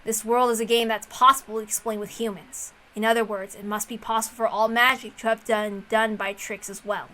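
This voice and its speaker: A woman doing an expressive amateur read-aloud of a visual novel in a casual, homemade way. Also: on the high side, brisk, hardly any pauses.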